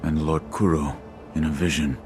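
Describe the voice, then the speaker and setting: A man's voice: rumbling low, level tone, moderate pace, over music. An actor playing a stoic, guarded shinobi in a video game, terse and reserved in his delivery. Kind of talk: scripted video game dialogue.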